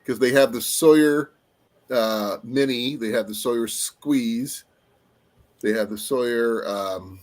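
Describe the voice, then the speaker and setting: Guy hosting a chill live stream, voice getting a lil raspy, lots of ums while he looks stuff up. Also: mid-range voice, slow pace, a little mellow. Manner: rambling, trails off with fillers while looking things up. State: voice going slightly raspy. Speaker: livestream host. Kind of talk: casual live-stream chat.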